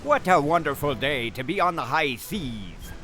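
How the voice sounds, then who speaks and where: A man's voice: a little high, animated, normal speed, music in the background, close to an everyday voice. A man playing a blustering, easily alarmed pirate captain with theatrical pirate talk in a comedy skit. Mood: commanding.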